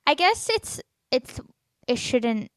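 Soft-spoken teenage girl, halting speech. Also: high voice, big pitch swings, no room sound, clean studio sound. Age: teens. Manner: halting, with stutters, fillers and restarts; soft, trails off quietly at phrase ends. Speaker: podcast host. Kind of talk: solo personal podcast.

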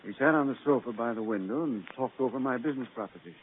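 Man acting as wealthy political boss, medium pitch, curt, indignant, 1940s radio drama, muffled narrow sound. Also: racing, natural voice. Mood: defensive. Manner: brusque, self-important. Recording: muffled, noisy old radio broadcast.

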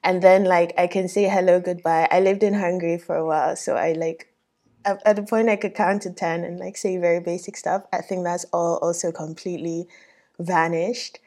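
A woman's voice: fairly low pitch, little pitch movement, moderate pace, a little mellow. A woman from Ghana in casual, self-deprecating conversation. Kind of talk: casual chat.

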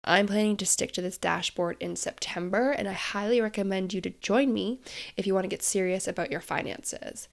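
A woman's voice: mid-range voice, fairly quick, creaky. A young woman hosting a casual podcast, chatty and upbeat with frequent laughs, sounding stuffed up and nasal from a head cold. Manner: chatty, upbeat, self-deprecating, laughs often. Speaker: podcast host.

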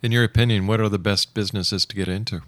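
A male radio host with a low voice conducts an interview. He asks his questions in a measured manner, in a dry, close sound. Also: steady tone, dry recording.

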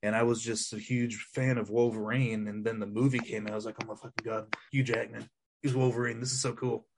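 A man co-hosting a podcast, spinning loose, rambling stories with frequent laughs. His voice sits at an ordinary middle pitch. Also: level tone, a little fast, creaky, a little hiss or hum. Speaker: podcast co-host. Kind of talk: casual chat.

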